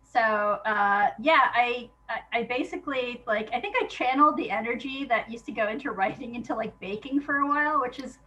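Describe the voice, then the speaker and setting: A woman's voice: a little high, normal speed, sharp tone, highs cut off. A woman talking casually in an interview, chatty and self-deprecating in an informal way. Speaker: playwright being interviewed.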